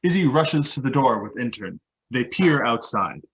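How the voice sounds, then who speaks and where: Man, middle pitch, scripted narration, stage directions reader, table read. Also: sing-song, normal speed, rough recording.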